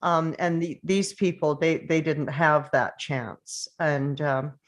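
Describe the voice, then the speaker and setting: A woman's voice: deep voice, steady tone, slow pace. A woman actor talking casually and reflectively in a group discussion. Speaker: actor in a table read.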